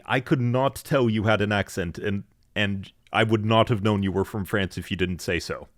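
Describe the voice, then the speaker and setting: A man hosts a casual podcast, introducing submissions in an upbeat, encouraging way. His voice is a little low. The sound is close and dry, with no room echo. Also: moderate pace. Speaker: podcast host.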